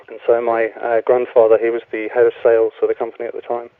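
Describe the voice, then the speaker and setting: A young man, a guest author speaking in a radio interview, relaxed and hesitant with many ums. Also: mid-range voice, a little fast, no echo. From Wales. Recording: tinny phone line, dry.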